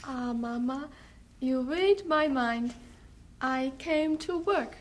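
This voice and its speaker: An actress playing a devoted, eager young village woman in an old radio drama, speaking in simple, halting, affectionate phrases. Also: high-pitched. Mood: joyful, affectionate.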